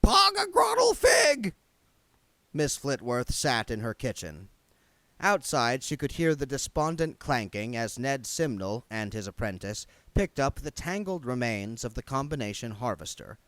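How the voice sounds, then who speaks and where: Male amateur audiobook reader, mid-pitched with wide intonation, dramatic storytelling, dry close sound. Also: animated, moderate pace, a bit bright, no echo. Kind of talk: scripted audiobook narration.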